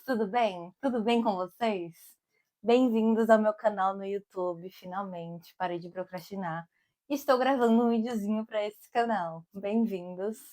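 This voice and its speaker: A woman's voice: mid-range voice, darkish tone. Young Brazilian woman with a Brasília accent, a cook vlogging outdoors, loose and chatty delivery full of filler. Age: young adult. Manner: rambling and digressive, keeps saying "tipo" and "amigos". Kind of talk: casual chatty vlog. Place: outdoors in summer, with bees around.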